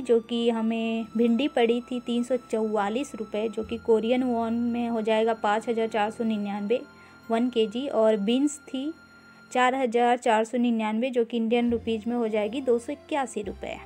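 A woman giving an unhurried, casual walkthrough of a grocery store, filming on a handheld phone and mixing English words into her talk with frequent "uh" fillers. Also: on the high side, steady tone, mellow, faint music behind. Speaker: vlogger touring a grocery store.

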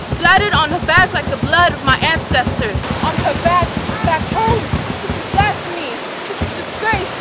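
Young woman performing spoken word by surf. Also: extremely high pitch. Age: young adult. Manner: rhythmic, emphatic performance delivery. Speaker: poet. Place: rocky beach outdoors. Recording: outdoor recording with loud surf noise. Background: crashing ocean waves.

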